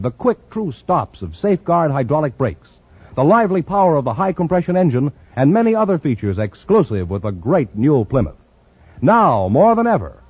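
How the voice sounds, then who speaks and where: A polished American announcer from 1950s radio, this man speaks emphatically with big swings in pitch. His voice is slightly high and rough-edged, heard dry and close through a narrow, tinny vintage broadcast. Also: no echo. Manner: polished, emphatic salesman delivery.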